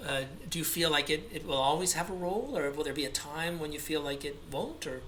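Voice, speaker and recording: A man's voice: a little high, fairly quick, fairly bright tone, slight background noise. A man interviewing a guest over a video call, asking long, rambling questions with eager interjections and restarts. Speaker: interviewer, conductor.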